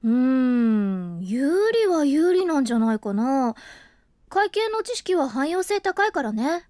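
A voice actress playing an overworked office woman who talks in a frank, expressive and flustered way, and also narrates the story. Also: high-pitched, measured pace, no room sound, studio quality. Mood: chatty, flustered. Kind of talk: voice drama acting with inner narration. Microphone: close studio mic.

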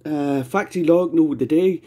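A man's voice: on the high side, moderate pace, dark tone, slightly rough. A Scottish man chatting casually as an amateur radio operator, rambling and repeating his phrases. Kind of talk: casual ham radio chat.

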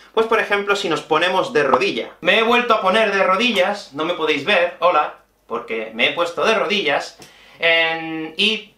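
A man in his thirties from Spain with a Peninsular Spanish accent, teaching a language lesson to camera in an expressive, explanatory way. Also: on the high side. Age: 30s. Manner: expressive, explanatory, addresses viewers directly. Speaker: Spanish teacher and video host. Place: small indoor room with whiteboard and bookshelf. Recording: echoey small room.

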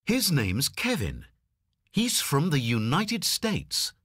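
A woman's voice: low-pitched, huge pitch swings, fairly bright tone, breathy edge, no echo, studio quality, heavily acted. A woman reading lesson questions and sentences in a coursebook recording. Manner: slow questioning.

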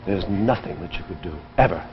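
A man's voice: low-pitched, lively intonation, a little fast. An actor in his thirties playing an earnest, protective suitor in 1950s television drama, speaking firmly and reassuringly. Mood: concerned. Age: 30s. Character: earnest, protective young suitor, calm and firm. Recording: muffled, noisy old TV soundtrack.

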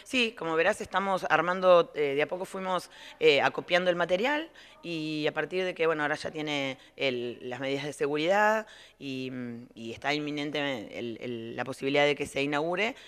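A middle-aged woman with an Argentine accent answering interview questions as a school director, explaining things in a halting way as she rephrases. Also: low voice, fairly bright tone, smooth. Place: large indoor playroom. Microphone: handheld reporter microphone.

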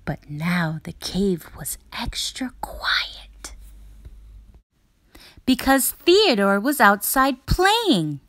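A woman reading a children's picture book aloud as a storyteller, expressively and with deliberate, dramatic pauses. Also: medium pitch, wildly swooping pitch, fairly bright tone, no room sound.

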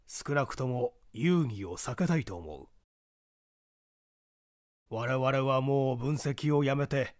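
An opinionated forensic professor from a mystery audiobook, acted out by a man, holding forth emphatically. The voice sits a little high and moves dramatically up and down, captured dry and close. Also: darkish tone, dry recording. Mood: grave lecturing. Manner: didactic, declamatory, emphatic.

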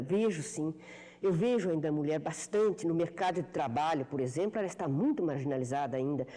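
A woman in her fifties, a writer being interviewed on television, answering in a measured, reflective way with frequent hesitations. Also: mid-range voice, mellow, big-room sound. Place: television studio. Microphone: lapel mic.

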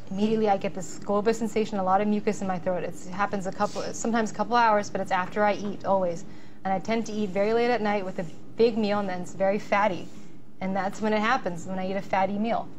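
A woman's voice: mid-range voice, slight background noise. A woman presenter answering questions after a medical talk, thinking aloud with restarts. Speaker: resident presenter. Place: lecture hall.

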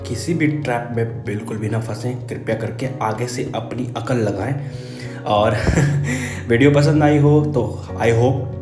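A man's voice: mid-range voice, unhurried. A young man narrating a casual vlog, warning viewers in an earnest tone while talking into his phone. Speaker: vlogger. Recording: echoey with loud music behind.

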